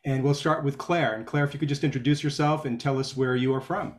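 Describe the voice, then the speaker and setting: Man on a video call calling students up one by one, brisk and short, mid pitch. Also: fairly even intonation, slight background noise. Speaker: moderator introducing students. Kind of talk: brisk introductions.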